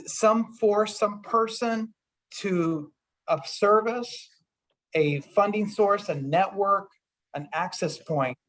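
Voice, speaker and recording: A government official answering a question in an earnest, storytelling way, with frequent restarts. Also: male voice, fairly high pitch, fairly bright tone. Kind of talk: virtual town hall talk.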